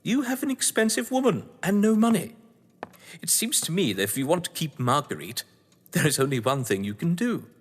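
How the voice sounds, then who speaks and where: An actor playing an urbane, cunning English crime novelist in an audio drama, pompous, condescending and wordy. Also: male voice, a little high, very animated, moderate pace, breathy edge. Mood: coolly insistent. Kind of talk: theatrical audio drama acting. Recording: echoey hall-like reverb.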